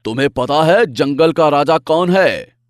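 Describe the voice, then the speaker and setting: A man's voice: fairly high pitch, lively intonation, unhurried, no room sound, studio quality, voice pushed up. A man voicing a bullying, boastful lion king in a cartoon animal fable, demanding and blustering. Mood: bossy, threatening. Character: bullying boastful lion king, cowed and whining once beaten.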